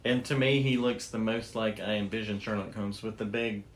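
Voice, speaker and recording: A man's voice: on the low side, flat delivery, normal speed. A man co-hosting a show, explaining things in a casual, enthusiastic and informal storytelling way. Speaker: podcast co-host.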